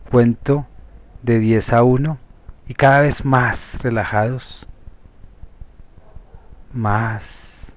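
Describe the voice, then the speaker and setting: A man with a Colombian Spanish accent leading a guided hypnosis meditation as a therapist, speaking deliberately in short, separated phrases. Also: fairly low pitch, a little mellow. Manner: slow, deliberate, pausing between short phrases.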